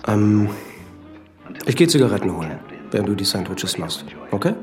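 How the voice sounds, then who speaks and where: An actor playing a hesitant, evasive husband in naturalistic radio drama, often answering in short mumbles. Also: male voice, lowish voice, sing-song, moderate pace, a little mellow, soft background music. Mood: hesitant, defensive. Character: hesitant, evasive husband and father, restless drinker.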